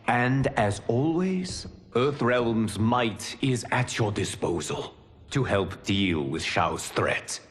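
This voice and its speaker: An actor playing a solemn, wise lord protector in a video game, speaking formally and gravely. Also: male voice, mid-range voice, animated, slow pace. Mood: diplomatic, measured. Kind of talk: video game cutscene acting. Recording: reverberant hall with game music.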